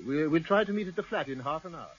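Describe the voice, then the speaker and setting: A cautious, courtly English solicitor with an upper-class accent and a husky, swooping voice. He fusses politely in a dull-sounding radio play. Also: fairly high pitch, lively intonation, fast talker. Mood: flustered. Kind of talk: BBC radio drama acting. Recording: dull archive radio recording.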